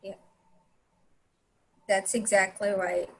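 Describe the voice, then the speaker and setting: Halting woman, Arabic native speaker. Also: medium pitch, little pitch movement, gravelly. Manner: halting, many restarts and fillers. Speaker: presenter on language barriers. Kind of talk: casual group discussion.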